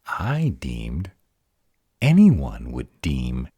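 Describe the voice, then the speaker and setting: Fantasy roleplay were-dragon, male actor: rumbling very low, husky, grumbly and easily sidetracked. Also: huge pitch swings, extremely slow, warm tone, no room sound, exaggerated voice. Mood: exasperated. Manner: grumbling, conversational asides. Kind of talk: audio roleplay fantasy drama.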